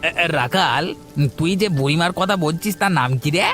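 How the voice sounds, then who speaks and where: A man voicing a bossy, nosy village uncle in a cartoon, repeating his words rapidly. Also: fairly high pitch, expressive, fairly bright tone, faint music behind. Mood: flustered. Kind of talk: cartoon voice acting.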